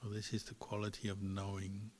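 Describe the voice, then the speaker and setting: A man, a Buddhist meditation teacher, giving guided meditation instruction in a calm, unhurried manner with gentle pauses between phrases. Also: fairly low pitch. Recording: long hall reverb.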